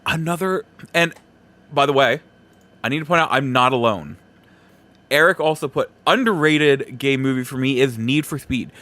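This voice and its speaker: A man's voice: mid-range voice, normal speed, sharp tone. A man hosting a solo podcast, giving opinions in an informal, conversational and emphatic way. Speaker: podcast host.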